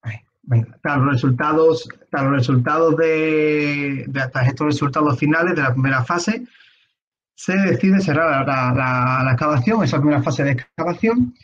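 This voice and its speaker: Man, Andalusian Spanish accent, slightly hoarse, halting lecture, higher voice, dull video call sound. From Spain. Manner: halting, many restarts and fillers. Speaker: archaeologist presenting. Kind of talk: conference talk over slides. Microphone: webcam on a video call.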